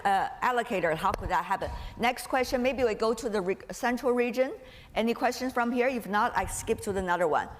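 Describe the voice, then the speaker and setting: A woman moderating a live panel, brisk and directive in how she steers the discussion. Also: on the high side. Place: conference panel hall. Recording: echoey hall with some noise.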